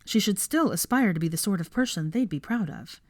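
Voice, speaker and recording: A woman's voice: medium pitch, lively intonation, fairly quick, no room sound, studio quality. A woman narrating a story as an audiobook reader, from a script.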